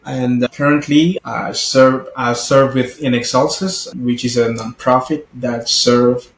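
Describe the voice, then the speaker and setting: A man from Cameroon, a pastor and missionary talking about his life as a guest, halting and restarting, leaning on "you know". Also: medium pitch, steady tone, echoey room, a little hiss or hum. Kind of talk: casual interview talk.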